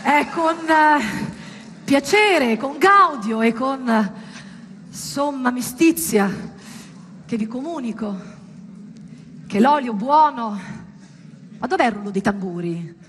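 A woman's voice: high voice, animated, slow pace, pauses often, a bit bright. A woman performing a theatrical monologue to an audience, dramatic and ironic, with rhetorical questions. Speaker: stage actress performing a monologue. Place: indoor performance space, live. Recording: reverberant hall with background noise.

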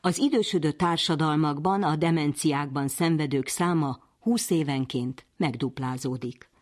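Woman presenter for a science radio feature, scripted narration, distinctly low voice. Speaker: presenter, interviewer.

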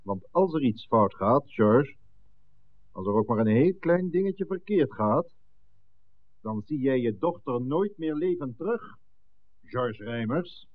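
Cold gang boss, middle register, menacing, commanding, 1960s radio drama, narrow tinny old recording. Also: male voice, medium pitch, lively intonation. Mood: cold menace. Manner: measured threats, curt orders.

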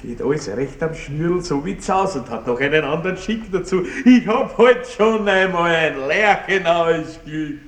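Aging country baron, pompous, lecherous, slow theatrical delivery, roomy vintage radio drama sound. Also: male voice, fairly high pitch, animated. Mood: gloating, self-satisfied. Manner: blustering, self-pitying, chuckling, drawn-out words. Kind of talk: theatrical radio play acting. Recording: roomy 1960s radio drama, faint noise.

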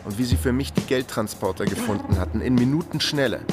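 A man's voice: medium pitch, fast, loud music behind the voice, natural voice. An actor playing a wry, laconic private investigator who narrates his own case in a radio crime drama. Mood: wry, watchful. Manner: laconic, dry.

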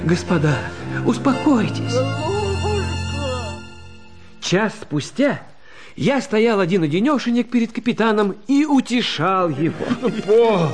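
A man giving an expressive, theatrical narration of a literary story as an actor. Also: high voice, unhurried, long pauses, soft background music. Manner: expressive, dramatic.

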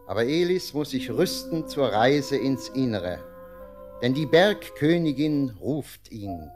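An actor playing a solemn storyteller, narrating a 1940s radio drama in a measured, dramatic manner. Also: male voice, medium pitch, lively intonation. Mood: solemn foreboding. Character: solemn storyteller announcing a fateful scene. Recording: music prominent behind voice. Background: music.

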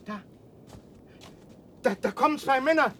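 A man's voice: very high-pitched, a little slow, fairly bright tone, heavily acted. An actor playing an anxious middle-aged father stranded after a rocket crash, urgent and agitated, in a radio drama. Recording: noisy old radio play with outdoor ambience.

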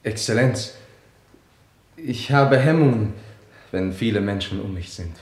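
A timid but stubborn court poet in a radio drama. His fairly low voice is formal and deferential, hesitant yet insistent. It sounds in a roomy, echoing space. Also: animated, unhurried, darkish tone. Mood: nervous, deferential. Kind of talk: German radio play acting.

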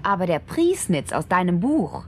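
A sceptical woman in a radio play, her voice on the low side. She is dry and sardonic, teasing and heckling in natural everyday dialogue. A faint noise sits on the recording. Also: big pitch swings, normal speed. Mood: teasing, scornful.

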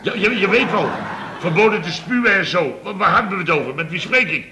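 An actor playing a blustering, flustered contractor boss in a radio comedy serial, stammering and repeating himself excitedly. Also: male voice, high-pitched, fairly quick, background noise.

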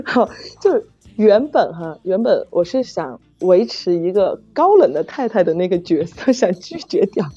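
Woman guest, lo-fi sound, animated intonation, self-deprecating and giggly, halting casual talk. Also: mid-range voice. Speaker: novel author, guest. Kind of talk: casual unscripted chat. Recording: muffled lo-fi audio, music behind. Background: saxophone background music.

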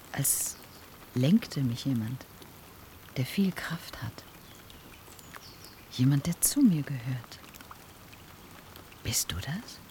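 Slow, dreamy, fragile woman. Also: low-pitched. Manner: hushed, breathy, wondering. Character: fragile, dreamy woman feeling a strange change. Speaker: actor in a radio play. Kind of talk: intimate German radio drama acting. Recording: rain noise behind the voice. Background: rain.

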